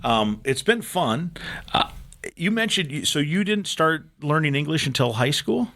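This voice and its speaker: Lively, bright-voiced male podcast host. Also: a little high, a little slow, dry recording. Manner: encouraging, brief affirmations. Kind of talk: interviewing, then scripted outro with sponsor reads.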